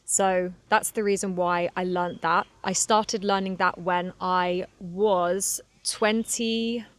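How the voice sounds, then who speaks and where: Casting: young woman English teacher, Southern English accent with a London tinge, unhurried and chatty, dry close sound. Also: fairly low pitch, fairly even intonation, dry recording. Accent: Southern English, slightly London. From Cornwall, England. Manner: chatty and candid, laughs often, many fillers. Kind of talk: relaxed solo podcast monologue for learners.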